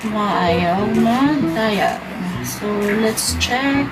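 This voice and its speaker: A woman's voice: on the high side, lively intonation, very slow. A woman with a Filipino accent chatting casually as a prank call vlogger. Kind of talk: casual vlog chat and phone calls. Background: loud music.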